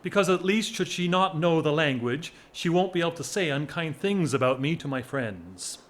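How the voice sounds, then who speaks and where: A man playing a loyal, lovelorn gentleman in a period audio drama, formal, restrained and earnest. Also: on the high side, lively intonation, moderate pace. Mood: bitter resolve. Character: loyal, earnest gentleman secretly in love with friend's wife. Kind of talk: period drawing-room audio drama acting.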